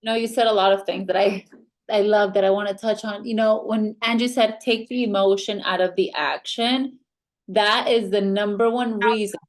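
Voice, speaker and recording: A woman's voice: medium pitch, fairly even intonation, normal speed. A woman with a foreign accent giving a motivational training talk as a team mentor, emphatic and insistent.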